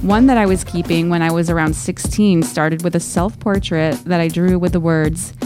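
A woman's voice: fairly low pitch, steady tone, normal speed, over music. A woman co-hosting a podcast in a casual, chatty book club style, talking and reading aloud from listener letters. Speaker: podcast co-host.

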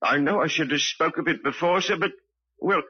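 Muffled old radio sound frames a timid elderly doorkeeper. He is husky and rather high, humble and hesitant, eager to avoid trouble. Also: moderate pace. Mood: nervous, apologetic. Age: older. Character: timid, deferential old male theatre doorkeeper. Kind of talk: old-time radio drama acting. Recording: dull vintage radio recording.

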